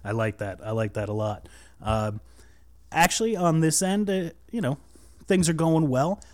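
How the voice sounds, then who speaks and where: A man's voice: on the high side, lively intonation, moderate pace. A man hosting a casual podcast, rambling with many fillers and restarts, a little nervous, on a cheap microphone. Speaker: podcast host.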